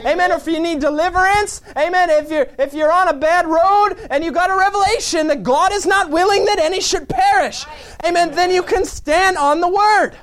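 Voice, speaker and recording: A man preaching a fervent sermon, peppering his lines with amen and hallelujah and building to shouts. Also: very high-pitched, moderate pace.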